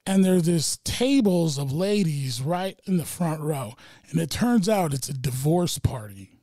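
Brief: male stand-up comic, mellow tone, higher voice, rambling casual podcast talk. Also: expressive, measured pace, dry recording, clean studio sound. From Wyoming. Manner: loose, rambling, swears a lot. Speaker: stand-up comedian, podcast guest. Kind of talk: casual chat.

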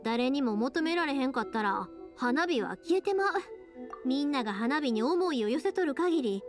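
A woman's voice: sits high, lively intonation, normal speed, over music. A woman voicing a cheerful, sincere young fireworks maker in an anime-style game, with a Kansai accent and a friendly, earnest, colloquial manner. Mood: reflective, earnest.

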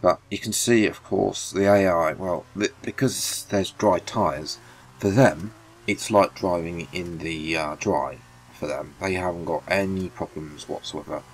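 Man, very low voice, sniffly, rambling gameplay chat. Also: measured pace, slight background noise. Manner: rambling, self-correcting, apologetic. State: sniffly from a cold or hay fever. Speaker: gaming video host. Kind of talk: casual gameplay commentary.

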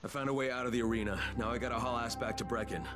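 A gritty, determined male survivor from a zombie video game, voiced by a male actor. He talks in terse, urgent bursts with plenty of swearing. Loud game music plays behind him. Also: mid-range voice, fairly even intonation, racing, natural voice. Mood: grim, weary.

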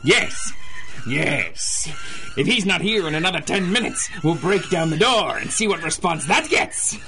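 A crazed taunting supervillain for old radio, bright and sharp, swings all over, noisy ambience. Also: male voice, sits high, huge pitch swings, a little slow, exaggerated voice. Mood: manic glee. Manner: theatrical, taunting, cackling, swinging from croon to shriek. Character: gleeful deranged male supervillain who commands monkeys. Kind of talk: 1930s-style radio drama acting.

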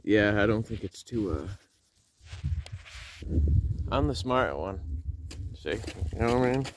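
A man's voice: lowish voice, animated, unhurried, background noise. A man filming his own ice fishing trip outdoors on a phone, chatting casually and joking with his friends. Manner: joking, laughs often, teases friends. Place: outdoors on a frozen lake shore in winter. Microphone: handheld phone camera.

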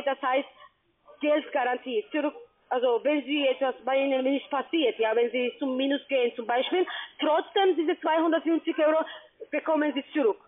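A non-native German speaker, a woman with a foreign accent, giving a halting phone sales pitch in simple phrases with check-back questions. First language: not German. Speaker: telephone sales agent for an investment scheme. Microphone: telephone. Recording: tinny, narrow phone line.

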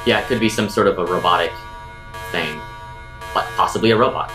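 A low-pitched man takes his turn as a player in a tabletop game. He improvises casually and a little hesitantly over a clear bed of music. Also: a little fast. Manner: hesitant, feeling out his words. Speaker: tabletop role-playing player.

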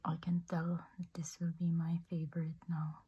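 A woman whispering softly through a makeup demo, gushing with amazement over each product. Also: lowish voice, monotone, normal speed, breathy edge, highs cut off. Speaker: beauty ASMR creator.

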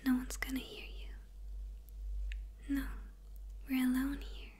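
A woman's voice: high voice, huge pitch swings, dark tone, exaggerated voice. Woman whispering as a gloating demon villainess. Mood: quietly menacing. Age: young adult. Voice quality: breathy whisper.

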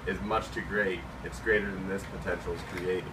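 Low-voiced young man explaining, distant mic. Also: steady tone, a little fast, a loud crowd. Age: young adult. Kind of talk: casual technical explanation. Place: indoor workroom at a whiteboard. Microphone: camera a few steps away. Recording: distant mic, noisy workroom.

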